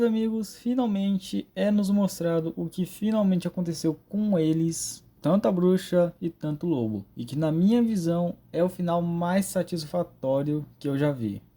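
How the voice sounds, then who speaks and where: Male Brazilian recap narrator: informal commentary, fairly high voice with a dark, relaxed tone. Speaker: video creator reviewing horror stories.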